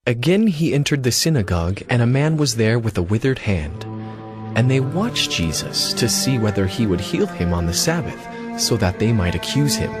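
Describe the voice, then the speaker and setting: An earnest man narrating scripture with a steady, deliberate delivery. His intonation moves animatedly up and down. Music is clearly audible behind him. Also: medium pitch.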